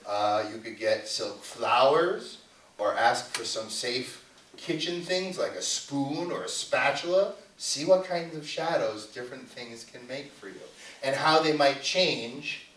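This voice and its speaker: A man's voice: mid-range voice, fairly bright tone. A man presenting as a puppeteer to a family audience, informal, instructive and playful, live in an indoor venue. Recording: echoey room, distant mic.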